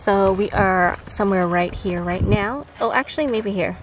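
A woman's voice: mid-range voice, moderate pace, background noise. A young adult woman narrating a casual travel vlog in a chatty, enthusiastic way. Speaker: travel vlogger. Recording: prominent music behind the voice. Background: music.

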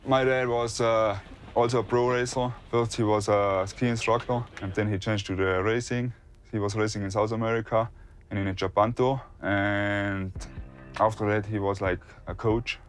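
A man's voice: fairly low pitch, fairly even intonation, normal speed, slight background noise. A man in his twenties with an Austrian accent, a professional ski racer answering interview questions in simple English. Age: 20s. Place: inside a van or camper.

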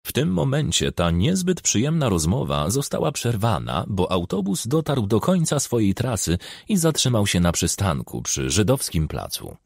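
A man's voice: lowish voice, wildly swooping pitch, moderate pace, gravelly, few pauses, darkish tone, husky, no room sound, studio quality. A man narrating fiction as an audiobook reader, in a scripted storytelling delivery.

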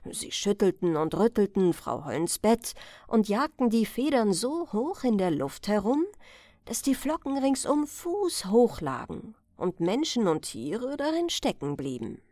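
Slow, expressive woman reading fairy tales. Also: medium pitch, dry recording, studio quality. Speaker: audiobook reader. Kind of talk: expressive fairy tale narration.

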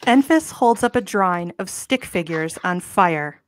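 A woman's voice: on the low side, lively intonation, a little slow. A woman narrating stage directions and bantering casually at a live table read over a video call. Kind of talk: deliberate script reading and casual banter. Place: small room at home, on a video call.

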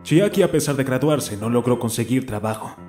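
A man acts out a teenage anime boy who comments on everything with dramatic exasperation. His mid-range voice is sarcastic and prone to outbursts. Music plays clearly underneath. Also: mid-range voice, expressive, fairly quick, natural voice. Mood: brooding. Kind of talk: anime fan dub acting and narration. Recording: music playing behind the voice.

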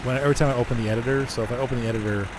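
A hoarse man chatting casually as he streams a driving game, rambling and answering his chat. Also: mid-range voice, steady tone, racing. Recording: noisy game sound behind.

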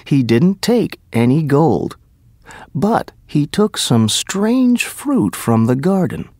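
A man narrating a scripted story for language learners in a careful, deliberate way. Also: a little high, very animated, darkish tone, dry recording, clean studio sound.

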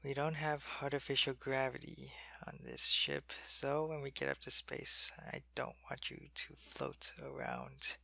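A man playing a sick, weak male alien soldier in an ASMR roleplay. He speaks softly into a close mic and often drops to a whisper. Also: medium pitch, wildly swooping pitch, measured pace, creaky, few pauses, dry recording, exaggerated voice. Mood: weary, gentle. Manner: soft-spoken, drifting into whispers.

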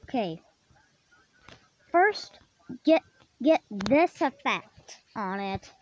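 A tutorial host casually explaining software steps in a halting way, often repeating and rephrasing. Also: medium pitch, big pitch swings. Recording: dull lo-fi microphone, clearly noisy.

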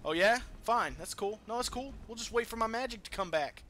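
A man's voice: high-pitched, a little fast, noisy background. A man giving casual, profane gameplay commentary as a let's play host. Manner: profane, animated trash-talk.

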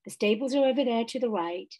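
A woman's voice: on the high side, quick pace, a little mellow. Woman reading as a cheerful, chatty water rat. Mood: knowing. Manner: chatty, emphatic. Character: good-natured, cheerful male water rat, loyal friend.

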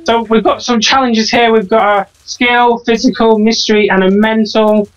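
A young woman plays a mock game-show host over voice chat, giggly and loose with the rules. Her voice sits a little high. She sounds distant from the mic. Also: fairly even intonation, moderate pace, muffled recording. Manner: giggly, loses track of the rules.

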